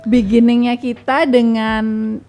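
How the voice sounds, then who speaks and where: A woman's voice: on the high side, level tone, takes it slowly, warm tone. A woman with an Indonesian accent chatting casually and informally as a guest, dropping in English words. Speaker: guest sharing experiences and tips.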